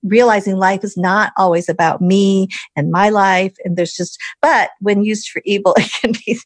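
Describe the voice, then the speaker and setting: A woman hosts a relaxed interview podcast with an average-pitched voice and very little room sound. She talks in an effusive, chatty way, giggling easily as she goes. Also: moderate pace, dry recording. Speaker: podcast host. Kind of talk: casual interview chat.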